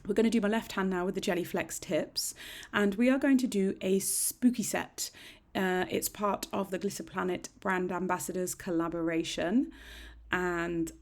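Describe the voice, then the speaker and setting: A middle-aged woman with a husky, slightly croaky voice, chatting casually through a nail tutorial in a rambling, self-deprecating way. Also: mid-range voice. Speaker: nail technician. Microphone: desk microphone.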